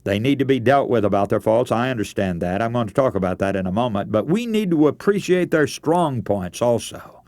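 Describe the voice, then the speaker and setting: A man's voice: mid-range voice, expressive, brisk, few pauses, darkish tone, no echo. A man preaching a radio sermon, giving practical advice in an earnest, instructive way. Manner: earnest, instructive, addresses listeners as friends.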